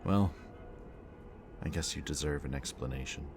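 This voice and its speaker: Man playing a very deep-voiced, weary villain. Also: over music. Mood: grim, haunted. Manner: theatrically polite, slow and deliberate, sighing. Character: brooding male villain kidnapper, mockingly polite, weary and haunted. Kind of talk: ASMR-style audio roleplay acting.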